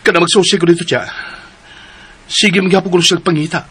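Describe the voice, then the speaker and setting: A man's voice: high voice, fairly even intonation, brisk, a bit bright, husky. An actor playing a determined husband searching for his missing wife in a radio drama. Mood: earnest. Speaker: nephew.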